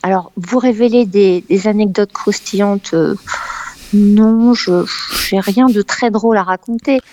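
A woman from Paris, a novelist, talking in a lively, animated way in a conversational interview, with frequent hesitations. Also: mid-range voice, a little slow. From Paris, France. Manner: animated, exclamatory, many hesitations. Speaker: novelist guest, former dental expert.

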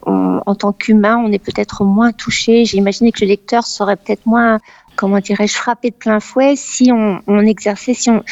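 A woman's voice: medium pitch, a little mellow, no room sound. A woman from Paris, speaking as a novelist guest in an interview. She talks animatedly and exclaims a lot, breaking off often with hesitations. The sound is slightly dull and very dry. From Paris, France. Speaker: novelist guest, former dental expert. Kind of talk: radio interview, conversational.

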